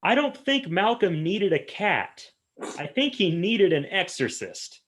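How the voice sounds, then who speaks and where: A man's voice: high voice, big pitch swings, unhurried. A man, one of the actors on a live show, bantering casually with dry puns. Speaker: radio-play actor on a live stream.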